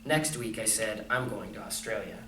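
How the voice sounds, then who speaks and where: A man's voice: on the low side, animated, distant mic. Man reading a storybook aloud in echoey room. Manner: conversational, pausing to comment and question listeners. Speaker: adult reading a picture book aloud to young children. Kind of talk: storybook read-aloud with casual asides. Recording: echoey room, off mic, faint noise.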